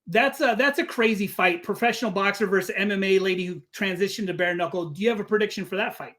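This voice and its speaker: A man's voice: high voice, fast, fairly bright tone. A man speaking as an interviewer, asking questions in a casual, conversational style.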